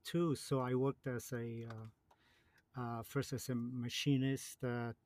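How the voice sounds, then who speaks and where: Man, Polish accent, fifties, halting, many fillers, dry close sound. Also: medium pitch, little pitch movement, darkish tone, no room sound. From Poland. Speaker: guest. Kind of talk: casual interview.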